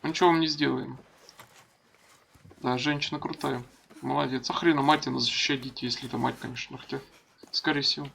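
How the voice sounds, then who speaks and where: A man's voice: medium pitch, steady tone, fairly quick, gravelly, pauses often, a bit bright, slight background noise. A man giving casual let's play commentary, reading game subtitles aloud in an informal, crude way. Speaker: gamer narrating playthrough.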